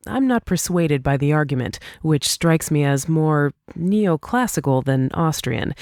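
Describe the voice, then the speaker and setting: A woman reads a scripted essay as an audiobook narrator, in a measured, clearly articulated way. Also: deep voice, darkish tone, no echo, studio quality. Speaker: audiobook reader.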